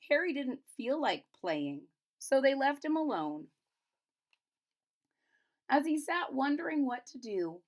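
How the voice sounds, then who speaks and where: A woman reading a children's storybook aloud, pausing to retell and explain it simply for young listeners. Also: medium pitch. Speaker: storybook reader. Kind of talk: children's picture-book read-aloud with retelling in plain words.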